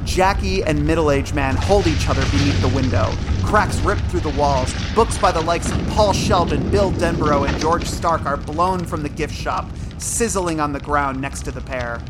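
A man's voice: a little high, normal speed, few pauses. A man narrating an audio drama from a script in a dramatic, descriptive manner. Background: loud music.

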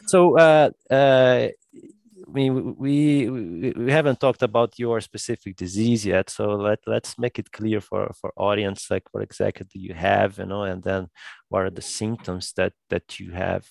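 A man with a low, gravelly voice hosting an interview podcast. He talks haltingly, full of fillers and repeated words, and the sound is dry and close. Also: darkish tone, no room sound. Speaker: podcast host. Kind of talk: conversational interview.

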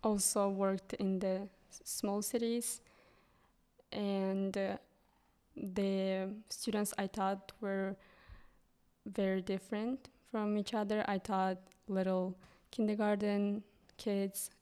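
A young woman from Kyrgyzstan with a Kyrgyz accent, a guest English teacher speaking English as a third language, pausing often with ums and restarts. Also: medium pitch, flat delivery, mellow, clean tone. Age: young adult. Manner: hesitant, many ums and restarts. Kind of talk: casual interview.

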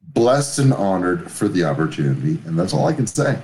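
A man in his thirties chatting casually as a podcast co-host, animated and playful, swearing freely. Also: low-pitched, fairly quick. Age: 30s. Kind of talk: casual chat about a TV show.

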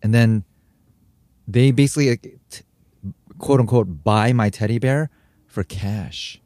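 A man's voice: fairly low pitch, measured pace, no echo. A young adult man from America, chatting casually as a podcast co-host and telling stories with acted-out sounds and quotes. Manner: animated storyteller, acts out sounds and quotes. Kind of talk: casual chat.

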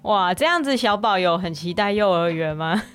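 A woman's voice: lowish voice, expressive, normal speed, fairly bright tone, soft background music. A woman host, chatting with children in an encouraging way and laughing often. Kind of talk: casual chat with children.

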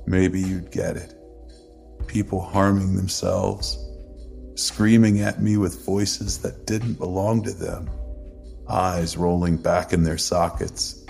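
A man playing a drunk, grief-stricken uncle and secretive former exorcist in a horror story, speaking in a halting mutter with a gravelly voice. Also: darkish tone, exaggerated voice. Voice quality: gravelly, creaky low voice. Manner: slow, halting, muttering delivery. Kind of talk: dramatic horror story acting.

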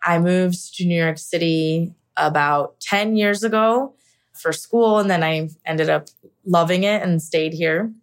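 A woman's voice: fairly low pitch, a little slow. A young woman from the United States candidly telling her own story in an interview, reflective and full of casual fillers. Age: young adult. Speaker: actor sharing her story. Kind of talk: personal interview monologue.

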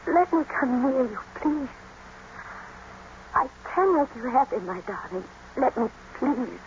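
An actress playing a lovelorn, unhappy young wife, earnest and melodramatic, in 1950s radio drama style. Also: female voice, high-pitched, lively intonation, measured pace. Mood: pleading. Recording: dull old radio broadcast, faint noise.

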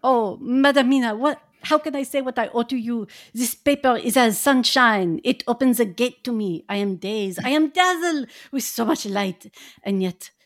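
A woman's voice: on the high side, moderate pace, natural voice. A woman voicing an earnest, effusive old Dutch professor who speaks in broken, formal English. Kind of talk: reading a novel aloud in roles.

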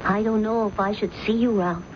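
An actress in a radio drama playing a worried, doubting fiancée with a questioning, reproachful manner. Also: female voice, mid-range voice, steady tone, fairly quick, lightly acted. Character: worried, doubting young fiancée, exasperated with her man. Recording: dull, noisy vintage radio recording.

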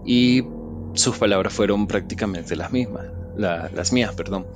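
A man with a somewhat low voice tells a personal story. He speaks softly, in a reflective and halting way. Faint music plays under his voice. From Venezuela.

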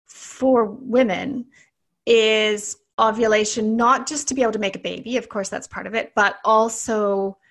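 A woman's voice: on the high side, fairly even intonation, unhurried. A middle-aged woman with a Canadian accent, a naturopathic doctor answering as a podcast guest in chatty, explanatory talk that often restarts. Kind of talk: casual interview talk.

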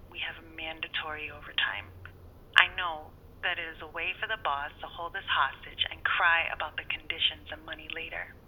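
A woman's voice: deep voice, sharp tone, a little hiss or hum, heavily acted, low-quality recording. A woman playing a demanding, scheming girlfriend who chides and coaxes in an audio drama. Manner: chiding, coaxing. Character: demanding, scheming young girlfriend feigning affection.

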